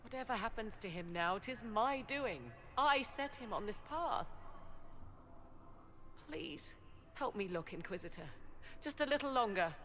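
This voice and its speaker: A woman's voice: fairly high pitch, animated, unhurried, long pauses, bright, forceful tone, slight background noise. An actress playing an anguished, proud sorceress mother in a video game, speaking in formal, theatrical phrases. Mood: despairing, pleading. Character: anguished, proud mother sorceress searching for her lost son. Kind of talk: dramatic video game acting.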